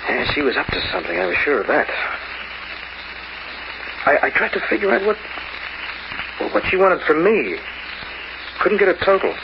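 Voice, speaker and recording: Wry hardboiled noir man, lively delivery. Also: high-pitched, moderate pace, creaky, long pauses, exaggerated voice. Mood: wry narrating. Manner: wisecracking, hardboiled slang. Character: wry, cynical, streetwise male locksmith narrator. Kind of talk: old-time radio noir acting. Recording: noisy, muffled old radio recording.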